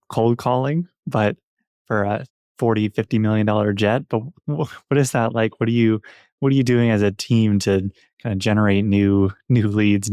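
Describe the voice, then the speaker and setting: A man hosting an interview podcast, putting measured, curious questions to his guest. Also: lowish voice, a little mellow, dry recording, clean studio sound. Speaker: podcast host.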